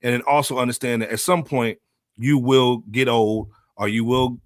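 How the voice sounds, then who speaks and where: Man, medium pitch, casual podcast co-host, slangy, repetitive phrasing, dry sound. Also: fairly even intonation, moderate pace, no echo. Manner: slangy, repeats his phrases. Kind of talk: casual hip hop podcast chat.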